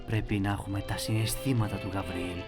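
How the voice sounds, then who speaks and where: A man's voice: fairly low pitch, steady tone, music in the background. A man giving a casual, rambling, playful screen-recorded tutorial.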